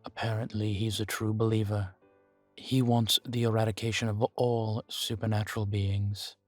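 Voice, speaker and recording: An ancient vampire voiced by a man speaks slowly in a fairly low voice, grave and guarded. His delivery is level and deliberate, weary with long experience, pausing for weight. It is an intimate audio roleplay, recorded dry with music underneath. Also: flat delivery, no echo, natural voice. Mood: grave, measured. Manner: measured, deliberate, with dramatic pauses. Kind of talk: scripted audio roleplay addressed to the listener.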